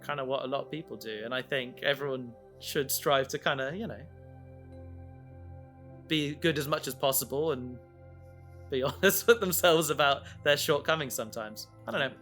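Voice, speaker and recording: A man chatting casually as a podcast co-host, halting and often interrupting himself as he rambles. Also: medium pitch, a bit bright, faint music behind. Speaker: podcast co-host and audio drama creator.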